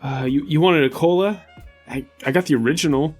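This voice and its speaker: A man's voice: on the high side, a little fast, breathy edge, soft background music, pitched above the performer's own voice. A man playing a nervous, hesitant hoarder. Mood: anxious, hesitant. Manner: hesitant, stammering, muttering. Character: nervous, generous hoarder anxiously rationing his sodas.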